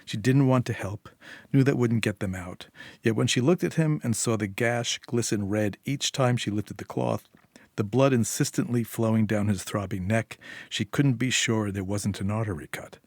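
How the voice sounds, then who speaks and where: A man's voice: lowish voice, moderate pace, darkish tone, no echo. Man narrating a dramatic short story.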